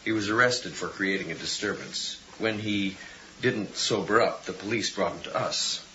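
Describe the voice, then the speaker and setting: An actor playing a dry, understated hospital staffer in a radio drama, speaking in clipped phrases. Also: male voice, on the low side, moderate pace, sharp tone. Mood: dry, matter-of-fact. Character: wry male hospital staffer briefing a colleague. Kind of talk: 1980s radio drama acting. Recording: dull 1980s radio drama, faint noise.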